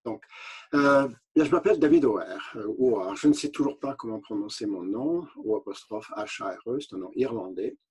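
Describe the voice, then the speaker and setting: An older man who grew up speaking English, a retired doctor explaining at length in a measured way, with frequent hesitations and restarts. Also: lowish voice, animated, a little mellow, slightly rough. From Canada. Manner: unhurried, many hesitations and restarts. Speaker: physician. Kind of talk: explaining to an interviewer.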